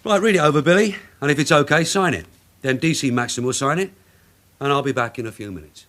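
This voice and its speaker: An actor playing a dogged, confident police detective sergeant, asking clipped, probing questions in a radio crime drama. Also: male voice, mid-range voice, quick pace, fairly bright tone, close to an everyday voice. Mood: brisk, businesslike.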